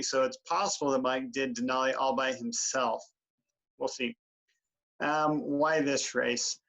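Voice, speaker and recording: Guy, 40s, mid-pitched, laid-back and jokey. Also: fairly bright tone, lo-fi sound. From Idaho, USA. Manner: joking, easygoing, some fillers. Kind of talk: casual team introduction.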